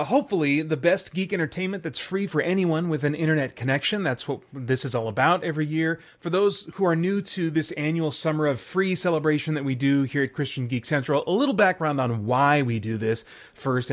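Casting: male solo podcast host, slightly high pitch, informal, speaking directly to the audience. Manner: informal, addresses listeners directly. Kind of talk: casual solo podcast talk.

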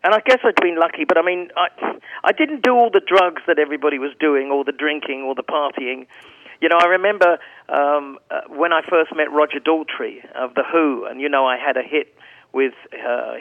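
A man in his seventies talks with a gravelly rasp that sits a touch high for his age. He rambles into stories, restarting his sentences as he goes. The sound comes over a thin, tinny phone line. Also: a little fast, dry recording. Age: 70s. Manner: chatty, rambling with restarts and anecdotes. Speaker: guest singer. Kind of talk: casual interview chat.

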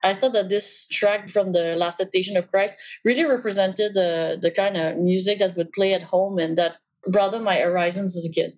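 A young woman with a Quebec French accent, a native French speaker, answers interview questions thoughtfully, often pausing on "uh". Her recording sounds a little dull. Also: mid-range voice, steady tone, a little mellow. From Quebec, Canada. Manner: thoughtful, hesitant with frequent fillers. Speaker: podcast guest, PhD student. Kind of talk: casual interview talk.

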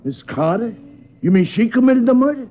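A slow-witted police sergeant, notably high-voiced for a man. He talks in plain, folksy slang, as in a 1950s radio crime show, on a dull old recording. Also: animated. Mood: puzzled. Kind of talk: 1950s radio drama acting.

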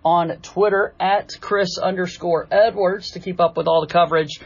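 Guy hosting a sports podcast, pretty high voice, really dry close sound. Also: fairly even intonation, normal speed, breathy edge, no room sound, highs cut off. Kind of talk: conversational interview and sponsor reads.

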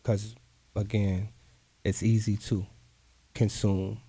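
A man speaks in a low, mellow voice. He talks earnestly and encouragingly, repeating words to drive his point home. The sound is dry and close to the mic. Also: flat delivery, slow, husky, dry recording. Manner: earnest, motivational, repeats for emphasis. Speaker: guest artist. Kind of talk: casual interview talk.

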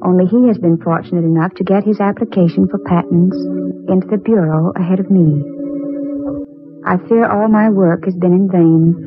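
A woman plays an earnest, wondering heroine in a 1940s radio drama. Her delivery is dramatic, on a very narrow, phone-like old recording with clear music behind her. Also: lowish voice, natural voice.

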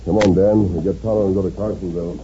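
Actor as very deep, dark-voiced western hero. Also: male voice, fairly even intonation, quick pace, close to an everyday voice. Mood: probing, calm. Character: commanding masked western hero, a lawman-like man. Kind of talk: 1950s radio western acting. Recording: muffled old radio recording, faint noise.

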